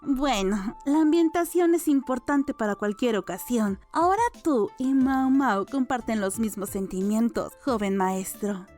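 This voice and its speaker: A woman plays an elderly maidservant in an audiobook drama, her voice on the high side. She is playful and doting, teasing and fussing over her young master, with soft music behind her. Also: measured pace, hardly any pauses, lightly acted. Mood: mock indignant.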